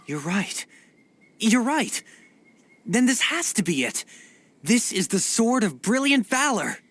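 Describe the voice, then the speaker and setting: An actor voicing an earnest, excitable, naive young adventurer in a video game. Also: mid-range voice, slow, dry recording, heavily acted. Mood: awed, earnest.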